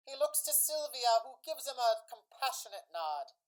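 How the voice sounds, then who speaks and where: A woman giving scripted dramatic narration as the storyteller of a radio play, in measured phrases. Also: high voice, big pitch swings.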